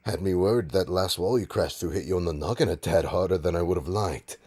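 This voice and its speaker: A man playing a suave, smug supervillain in an audio roleplay, teasing his nemesis with a pompous, mock-polite drawl and dramatic pauses. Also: sits low, darkish tone. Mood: taunting, amused. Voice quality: gravelly, husky. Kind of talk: audio roleplay monologue addressed to listener.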